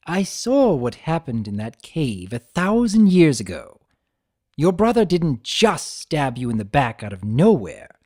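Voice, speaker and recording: A man's voice: a little high, warm tone. A man voicing a mischievous, flippant chimera trickster in a theatrical, teasing way for a fan fiction reading. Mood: probing, taunting.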